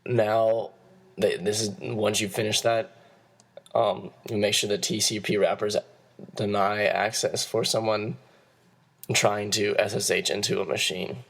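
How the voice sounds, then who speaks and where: Young guy with a croaky hoarse voice doing a class talk, kinda halting, flat delivery. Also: fairly low pitch. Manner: halting, steady explaining with frequent restarts. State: hoarse, losing his voice. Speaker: student presenter. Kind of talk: class presentation.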